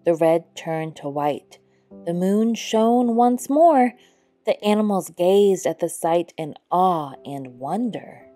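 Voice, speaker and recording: Woman reading a kids picture book, slow n mellow, soft music behind. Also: lowish voice. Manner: measured, expressive storybook delivery. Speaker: storyteller reading a children's picture book aloud. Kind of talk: scripted read-aloud narration for children.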